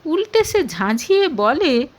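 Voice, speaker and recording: A woman reads a scripted romantic story as narrator. Her voice is high with very lively intonation, and she takes her time over the lines. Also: a little slow.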